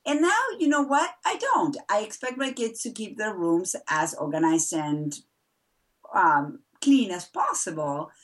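A woman's voice: medium pitch, sing-song, unhurried, far from the mic. A middle-aged woman from Argentina, a mother of four, chatting as an interview guest with frequent laughs and fillers. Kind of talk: casual interview chat.

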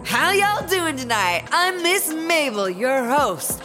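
A woman's voice: sits high, very animated, slow, full character voice. A woman playing a folksy, showy rap battle emcee announcing from the stage in a comedy audio drama. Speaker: host, master of ceremonies. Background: music.

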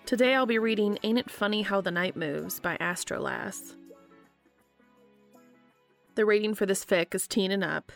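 A woman's voice: mid-range voice, normal speed, gravelly, long pauses, soft background music. A woman podcast host reading fan fiction aloud as scripted narration.

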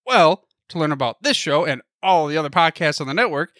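A man's voice: a little high, expressive, fairly quick, fairly bright tone, dry recording, studio quality. A man hosting a podcast, reading a scripted network promo in an upbeat, chatty manner straight to the listener. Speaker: podcast host.

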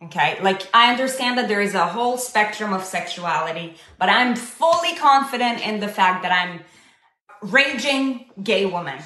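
In an echoey room, a young woman talks to a group at a middling pitch. She is conversational and emphatic, often putting questions to her listeners as she makes a casual motivational case. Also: animated, normal speed, pushed and bright. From Israel. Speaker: speaker leading a community discussion.

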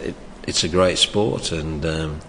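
Very deep, gravelly older man. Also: steady tone, measured pace. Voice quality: loud voice. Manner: hesitant, with many restarts and chuckles. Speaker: interviewee, former soccer coach. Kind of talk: reflective oral history interview. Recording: long reverb, faint background noise.

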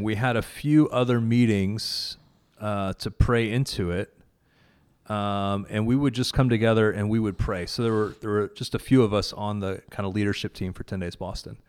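A man's voice: on the low side, a little mellow, clean tone. A man casually and earnestly recounting a prayer gathering, with many fillers and restarts. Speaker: guest, prayer event organizer. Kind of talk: casual conversation about faith.

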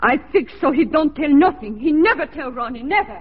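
Casting: intense young frontier woman, high voice, broken English with foreign accent, thin old radio sound. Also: animated, brisk, narrow, tinny audio, noisy background. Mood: pleading. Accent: put-on foreign, broken English. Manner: clipped broken grammar, intense. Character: passionate, possessive young frontier woman, desperately in love. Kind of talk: 1940s radio drama acting. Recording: thin band-limited 1940s radio recording.

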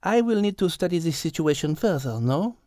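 A woman voicing a thoughtful, logical female cat with a French accent, speaking in a measured, precise way in an audiobook. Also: on the low side, lively intonation, warm tone, dry recording, studio quality, close to an everyday voice. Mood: analytical. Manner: measured, precise, reasoning aloud. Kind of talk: audiobook character acting.

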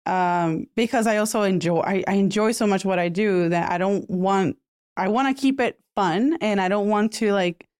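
A woman from Puerto Rico whose first language is Spanish, answering interview questions in a relaxed, thoughtful way with soft laughs. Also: mid-range voice, normal speed, smooth, dry recording, studio quality. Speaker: podcast guest.

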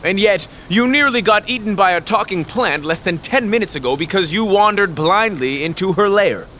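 A man's voice: high-pitched, expressive, normal speed, fairly bright tone, a little hiss or hum. A man playing a proud, suspicious young prince in a fiction podcast, pompous, curt and commanding, speaking formally. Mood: scornful.

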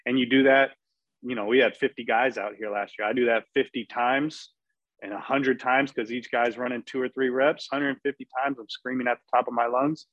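A man's voice: mid-range voice, steady tone, moderate pace, a bit bright, dry recording. A man speaking as a performance coach on a discussion panel, talking emphatically. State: losing his voice. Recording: dull, dry lo-fi audio.

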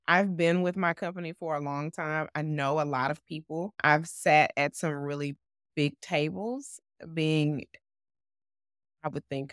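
A woman co-hosting a podcast who talks loudly with a bassy voice, measured and assured. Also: clear, resonant tone, no echo, clean studio sound. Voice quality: loud with a bassy voice. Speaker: podcast co-host. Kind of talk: casual conversation.

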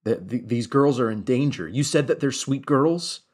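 A man's voice: medium pitch, animated, moderate pace, darkish tone, husky. A man playing an earnest, worried investigator in a tabletop audio drama, pleading politely with a slight stammering delivery.

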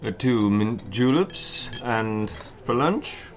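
A man's voice: mid-range voice, lively intonation, slow pace, soft background music. A man playing a polite, deferential waiter in an audio drama, formal and courteous. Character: polite, deferential male waiter at country-club restaurant.

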